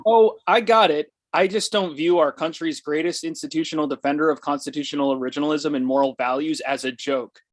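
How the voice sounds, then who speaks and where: A man's voice: fairly high pitch, fairly even intonation, brisk, hardly any pauses, close to an everyday voice. A man playing a mad, self-important news editor in his forties, speaking formally and pompously in a script read. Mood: indignant. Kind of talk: screenplay table read.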